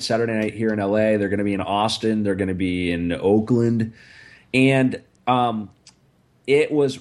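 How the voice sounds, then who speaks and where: Hoarse, raspy-voiced man telling a story. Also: on the low side. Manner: animated storyteller, slows for comic emphasis. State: hoarse from screaming. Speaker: podcast co-host. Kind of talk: casual chat.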